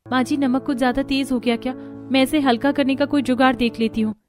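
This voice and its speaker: Woman as a higher-voiced, put-upon young cartoon daughter-in-law. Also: steady tone, fast, darkish tone, faint music behind. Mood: dutiful.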